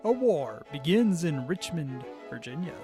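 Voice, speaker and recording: A man's voice: a little high, very animated, unhurried, over music. A man playing a portentous trailer-style announcer narrating a dramatic recap in a radio drama. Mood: ominous.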